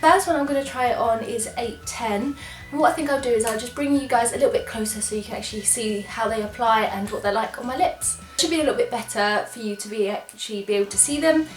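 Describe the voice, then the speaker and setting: Young English woman, slightly high voice, nasally from a cold, bubbly beauty vlogger chat in an echoey room, faint music under. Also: fast. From England. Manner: chatty, enthusiastic, apologetic asides. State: congested from a cold. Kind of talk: casual product try-on chat.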